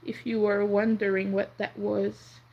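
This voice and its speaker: A young woman whose first language is French, chatting softly and rambling on a crochet livestream. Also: medium pitch, level tone, unhurried, husky, dull audio. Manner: soft-spoken, rambling, counts under her breath. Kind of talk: casual chat while crocheting.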